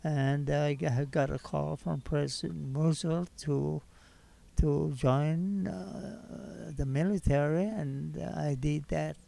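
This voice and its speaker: A man's voice: on the high side, fairly even intonation, slow, creaky, warm tone. A man in his nineties from Hawaii, an Army veteran reminiscing in an unscripted interview. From Hawaii, United States. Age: 90s. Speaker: war veteran, interview guest.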